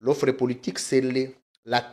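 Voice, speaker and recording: A man's voice: medium pitch, level tone, moderate pace, a little mellow. A man, a political guest arguing insistently and talking over the host. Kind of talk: heated political debate.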